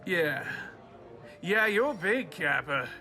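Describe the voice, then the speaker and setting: Man acting a high, bright, goading western bully. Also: sing-song, takes it slowly, full character voice. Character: belligerent, goading gunslinging bully picking a fight. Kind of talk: amateur western radio play acting. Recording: student radio play with background music.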